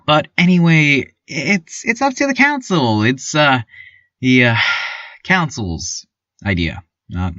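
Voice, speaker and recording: Guy acting as nervous rambly local radio news man, lots of ums, slow, super up and down pitch, surreal fiction podcast. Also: creaky, fairly bright tone, no echo, heavily acted. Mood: nervous, deferential. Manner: hesitant, stammering, many ums and pauses. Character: rambling small-town radio newscaster, timid, awkward, eerily cheerful man. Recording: dull, lo-fi, slightly muffled sound.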